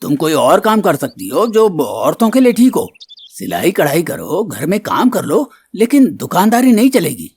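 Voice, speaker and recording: A man's voice: on the high side, huge pitch swings, normal speed, a little mellow, breathy edge, no room sound, exaggerated voice. Stern, authoritative village headman. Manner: authoritative, admonishing. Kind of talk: Hindi moral-story cartoon voice acting.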